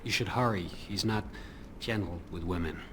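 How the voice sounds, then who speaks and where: An actor playing a nervous, quietly bitter male elf servant in a fantasy video game. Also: low voice, moderate pace, slight background noise. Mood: wary, bitter.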